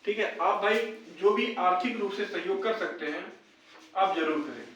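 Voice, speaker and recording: A man's voice: high voice, normal speed, a bit bright, lo-fi sound. Young man, informal appeal to viewers, repetitive and urging, heavily echoing room, distant mic. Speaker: video host addressing fellow job candidates. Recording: very echoey room, distant microphone.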